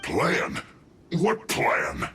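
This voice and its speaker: An actor voicing a flustered, indignant enemy soldier in a video game, protesting his innocence in halting phrases. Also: medium pitch, wildly swooping pitch, pushed and bright, slight background noise, exaggerated voice. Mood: defensive. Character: flustered male enemy soldier caught picking berries, indignant. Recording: music under the voice.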